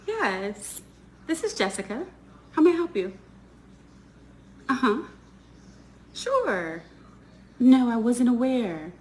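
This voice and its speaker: A woman's voice: fairly high pitch, slight background noise. A woman answering a work phone call politely.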